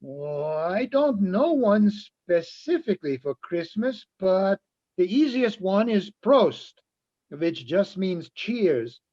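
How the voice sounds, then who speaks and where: A man playing a friendly, earnest bar patron in a radio-style play, speaking in a measured, explanatory way. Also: high voice, sing-song, dark tone, dull audio, heavily acted. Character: friendly Austrian-toast-teaching bar patron, adult man. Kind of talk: radio-play style readers theatre acting.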